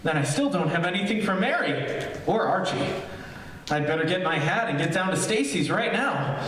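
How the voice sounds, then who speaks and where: Man as high-voiced henpecked radio dad. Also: expressive, normal speed, a bit bright. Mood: exasperated. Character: flustered, henpecked middle-aged father in radio comedy. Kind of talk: 1940s radio comedy acting. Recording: echoey, distant stage recording.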